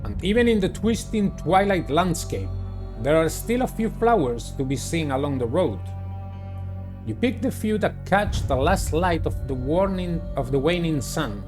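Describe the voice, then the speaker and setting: A man doing a playthrough of a visual novel, reading it aloud and commenting in a deliberate, halting way. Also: a little high, big pitch swings, darkish tone, faint music behind. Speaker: gamer playing and narrating a visual novel. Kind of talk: dramatic read-aloud with casual playthrough commentary.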